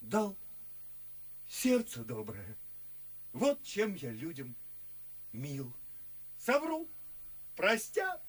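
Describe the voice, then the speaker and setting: Radio drama role: an excitable, boastful chatterbox, husky and higher-pitched, wildly animated, drawing out his words. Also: very slow, pauses often, heavily acted. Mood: self-mocking, merry. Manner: gushing, exclamatory, conspiratorial, drawing words out. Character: boastful, good-natured, foolish chatterbox gentleman, excitably confiding. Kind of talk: theatrical radio play verse acting.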